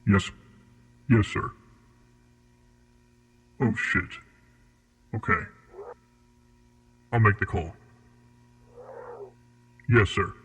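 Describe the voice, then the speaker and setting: A man playing a cold, formal interrogator at a secret facility in a horror audio drama, with a husky, measured delivery. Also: medium pitch, wildly swooping pitch, fairly bright tone, heavily acted. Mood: businesslike, pressured.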